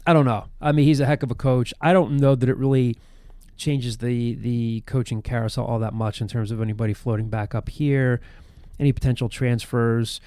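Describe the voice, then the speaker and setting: A man in his thirties hosting a casual sports podcast, hoarse from getting over an illness, telling rambling, self-deprecating stories. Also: medium pitch, steady tone, a little fast, mellow, no echo. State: losing his voice after being sick. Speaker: podcast host.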